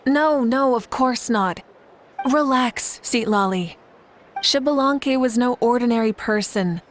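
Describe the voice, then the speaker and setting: A woman's voice: a little high, slow, a little hiss or hum. A woman voicing a confident, composed young woman leader in a video game, speaking reassuringly. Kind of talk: video game character acting.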